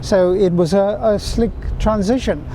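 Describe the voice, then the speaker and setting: A middle-aged man from Fiji, answering interview questions in a reflective, measured way. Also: sits high, dark tone, slight background noise.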